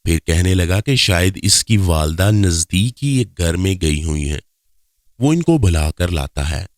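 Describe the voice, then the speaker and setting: Guy reading out a story in first person, deep voice, really dry recording. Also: expressive, unhurried, no room sound. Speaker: story narrator.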